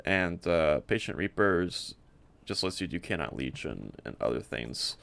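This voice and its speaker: A man presenting a game build in a casual, unscripted way, rambling and halting as he often repeats words. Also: sits low, lively intonation, vocal fry, a bit bright.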